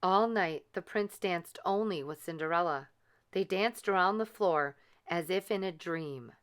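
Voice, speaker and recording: Female storyteller, lower pitch, slow deliberate pace, expressive children's read-aloud. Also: smooth. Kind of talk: scripted storybook narration for children.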